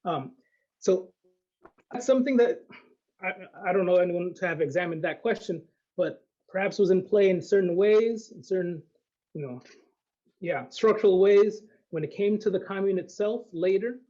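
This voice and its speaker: A man speaking as an academic guest in an unscripted discussion, thinking aloud in a hesitant, halting way. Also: high-pitched, warm tone, lo-fi sound.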